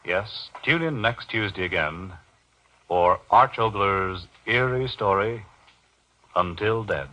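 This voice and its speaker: A man's voice: lowish voice, slow, bright, forceful tone. A man reading scripted announcements in a 1940s radio style. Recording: muffled old radio broadcast.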